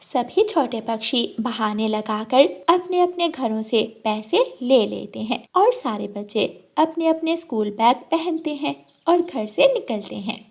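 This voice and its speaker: A woman's voice: a little high, expressive, measured pace, hardly any pauses, darkish tone. A woman reading a scripted narration for a children's story.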